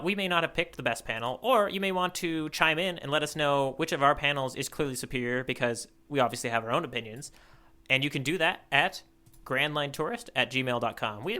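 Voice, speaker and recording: A man's voice: a little high, pushed and bright, smooth. A man co-hosting a casual podcast about manga, chatting in a playful, bantering way. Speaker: podcast co-host.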